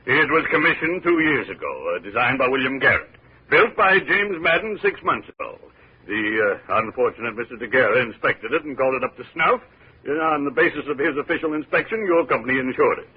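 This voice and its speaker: An actor playing a gray-haired, pompous small-town mayor in 1950s radio drama, husky, hesitant and full of overblown rhetoric. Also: male voice, mid-range voice, expressive, a little fast. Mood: earnest, formal. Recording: dull old radio recording.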